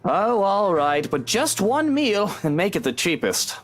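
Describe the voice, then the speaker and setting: A snarky haughty man, impatient, very animated delivery, game dialogue over music. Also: high voice, a little slow. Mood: grudging, impatient. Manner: grudging, haughty. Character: impatient, sardonic, tactless man with grudging kindness. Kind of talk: visual novel game acting.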